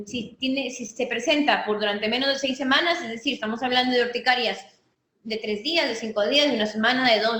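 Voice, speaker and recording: A woman's voice: a little high, pushed and bright. A woman physician with a Peruvian Spanish accent giving a medical teaching talk, explaining step by step with frequent restarts and fillers. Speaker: physician lecturer. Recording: echoey room with faint noise.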